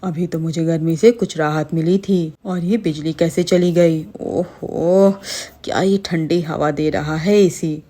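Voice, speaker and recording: A woman's voice: low voice, steady tone, a little slow, dark tone. A woman voicing a heat-weary witch in a Hindi cartoon story, exclaiming and grumbling theatrically. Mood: dismayed grumbling. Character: heat-weary female witch, whiny, complaining, easily delighted. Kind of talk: Hindi cartoon horror-comedy acting.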